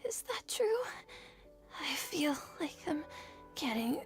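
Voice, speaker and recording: Frail, very high sick little boy. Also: big pitch swings, slow, exaggerated voice. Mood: tearful, frail. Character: naive, feverish little boy, plaintive. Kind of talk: video game voice acting. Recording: game music behind.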